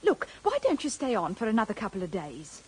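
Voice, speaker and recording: A woman's voice: mid-range voice, huge pitch swings, brisk, darkish tone, husky, heavily acted. A woman playing a grateful, homely young mother in a radio drama, earnest and apologetic. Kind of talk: naturalistic radio drama dialogue.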